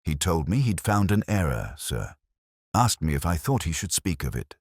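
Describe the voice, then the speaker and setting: A nervous young clerk in a spoken mystery, put on by a male narrator. He sounds hesitant and apologetic, the voice deep and dry. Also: very animated, normal speed, slightly rough, no room sound, full character voice. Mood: anxious.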